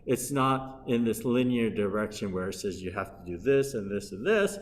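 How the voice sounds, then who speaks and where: A man's voice: lowish voice, animated, slight background noise. Middle-aged man lecturing in an echoing hall. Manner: unhurried, hesitant, with frequent pauses and restarts. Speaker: Buddhist minister. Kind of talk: dharma lecture. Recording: long reverb from a hall.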